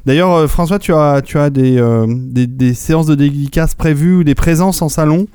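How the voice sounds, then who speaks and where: A man's voice: a little high, moderate pace, mellow, clear, resonant tone, no room sound, studio quality. A man hosting a casual podcast chat, hesitant with many fillers and easy chuckles. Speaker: podcast host.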